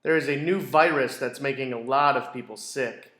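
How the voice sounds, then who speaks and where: A man reading a children's picture book aloud in a deliberate, expressive storytelling way. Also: mid-range voice. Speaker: school read-aloud storyteller. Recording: roomy, echoey indoor sound.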